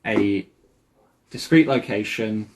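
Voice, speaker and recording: Calm, measured roleplay player. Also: medium pitch, low-quality recording. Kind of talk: in-character roleplay.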